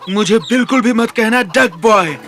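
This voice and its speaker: A man's voice: high voice, moderate pace, a bit bright, soft background music. A man voicing a proud, touchy farmer in a cartoon, earnest and firm. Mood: irritated. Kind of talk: animated cartoon dub.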